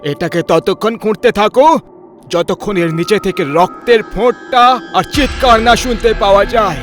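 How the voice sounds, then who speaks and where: A commanding old village grandfather acted by a man speaks in a strikingly high voice. He is stern and dramatic, a horror cartoon elder, with music clearly underneath. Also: normal speed, a bit bright. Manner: authoritative, ordering, dramatic.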